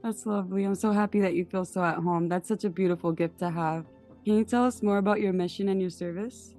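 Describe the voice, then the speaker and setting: A woman's voice: mid-range voice, fairly even intonation, quick pace, mellow, faint music behind. A woman hosting an interview podcast, reading introductions and asking questions. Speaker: podcast host.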